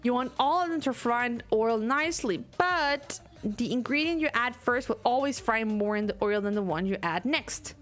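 A young woman from Denmark with a Danish accent and a high, bright voice talks through a cooking game as she streams it. She rants with feeling between reading bits of dialogue aloud. Soft game music plays faintly under her desk mic. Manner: animated, ranting, reads game lines aloud. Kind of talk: let's play commentary.

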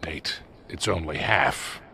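A man acting a gruff, sardonic older American mentor in a video game, with a gravelly voice and folksy manner. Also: extremely low pitch, lively intonation, takes it slowly, background noise, exaggerated voice. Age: older, about 60. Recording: loud game soundtrack music behind.

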